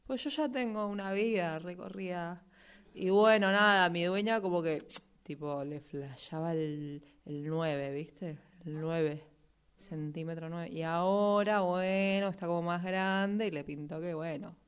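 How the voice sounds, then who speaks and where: A woman's voice: fairly low pitch, measured pace, clear, resonant tone, natural voice. A woman with an Argentine accent improvising as a vain, chatty, flirty tango shoe in an affected, slangy drawl. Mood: breezy, confiding. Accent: Argentine Rioplatense. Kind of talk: improvised radio theatre interview in character.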